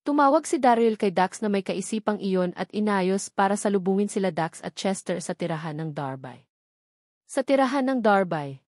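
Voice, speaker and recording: A woman's voice: mid-range voice, darkish tone, no echo, studio quality. A woman reading a novel aloud as a scripted story narration. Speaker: audiobook narrator.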